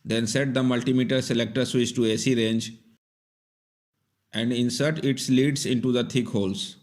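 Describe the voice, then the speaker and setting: A man's voice: mid-range voice, steady tone, moderate pace. Man narrating a step-by-step repair tutorial. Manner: plain, methodical, instructive. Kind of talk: scripted step-by-step instructional narration.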